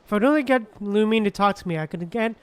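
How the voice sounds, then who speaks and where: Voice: medium pitch, expressive, quick pace, clean tone. A streamer giving casual, slangy, laid-back commentary while playing a video game. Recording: game music behind the voice.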